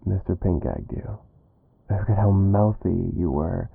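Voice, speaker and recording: A man playing a smug, dominant mafia boss kidnapper with a gravelly low voice, teasing and menacing, in an ASMR roleplay style. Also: unhurried, no echo, phone-quality sound, exaggerated voice. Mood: taunting, flirtatious.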